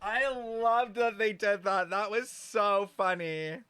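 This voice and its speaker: A young man giving casual, gossipy, sweary reaction commentary as a game streamer, very expressive, on a close mic. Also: sits high, slow, smooth, dry recording.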